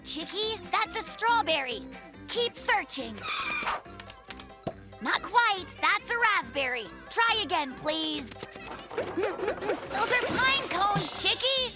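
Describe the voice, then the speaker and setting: Casting: eager young cartoon bear, very high and lively, boyish boaster, music behind. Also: heavily acted. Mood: patient, directing. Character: cheerful, earnest, eager young bear playing pirate. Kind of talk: children's animated cartoon dialogue. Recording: music under the voice.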